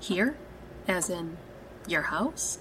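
A woman's voice: fairly low pitch, lively intonation, very slow, fairly bright tone, a little hiss or hum, heavily acted, rough recording. An actress playing a casual, unfazed young woman in an audio drama, with an offhand, understated delivery. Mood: quietly curious.

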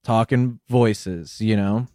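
A man co-hosting a comedy podcast over a video call, riffing in a rambling, deadpan way. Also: on the low side, slow, no room sound, studio quality. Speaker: podcast co-host. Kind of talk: improvised comedy banter.

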